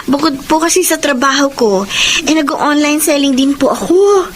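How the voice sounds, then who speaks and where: An actress playing a dutiful, selfless young granddaughter in an emotional radio drama, speaking earnestly and respectfully. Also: female voice, high voice, normal speed, a little hiss or hum, close to an everyday voice. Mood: earnest, gently firm.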